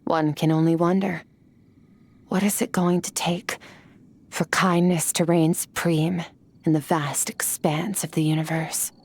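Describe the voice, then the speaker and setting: A woman voicing an ancient, calm swordswoman who talks in a measured, solemn, philosophical way, in a video game. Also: low-pitched, slight background noise. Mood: contemplative.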